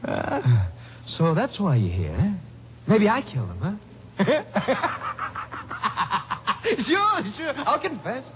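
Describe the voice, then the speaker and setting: A man's voice: sits high, huge pitch swings, slow, pauses often, noisy background, full character voice. A man playing a brutal little lifer in a 1950s radio drama, mocking and bitter, in slangy tough talk. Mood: gloating, sneering. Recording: dull, lo-fi vintage radio recording.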